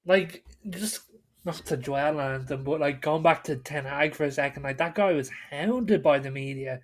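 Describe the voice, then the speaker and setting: A man's voice: on the high side, moderate pace. A man co-hosting a football podcast, giving blunt, opinionated takes in casual conversation.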